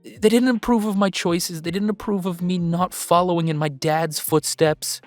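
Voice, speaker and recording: A woman voicing a young male werewolf streamer, soft-hearted and excitable, talking to viewers in halting, heartfelt, informal chatter in an audio roleplay. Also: fairly low pitch, soft background music, close to an everyday voice. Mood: heartfelt confession.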